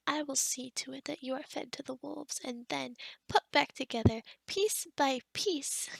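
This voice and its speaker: A woman acts out a kind young witch of the forest in an ASMR roleplay. Her voice is high and breathy with some fry, recorded close and dry. She talks softly and haltingly, rambling in an awkward, gentle way. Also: dry recording, exaggerated voice. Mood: sternly threatening. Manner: hesitant, rambling, softly spoken with whispery stretches. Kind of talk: ASMR roleplay addressed to the listener.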